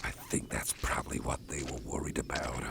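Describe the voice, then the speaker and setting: Deep gravelly whispering man, slow. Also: fairly even intonation, heavy background noise. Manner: slow, gentle, mostly whispered, soothing. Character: caring male boss carrying the listener. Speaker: ASMR roleplay performer. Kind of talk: soft-spoken ASMR roleplay. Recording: loud outdoor nature ambience behind. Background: rural outdoor sounds with birds.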